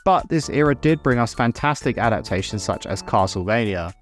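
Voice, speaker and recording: A man's voice: lowish voice, lively intonation, brisk. A man narrating a scripted video essay in a wry, opinionated way, with casual asides. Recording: music under the voice. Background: background music.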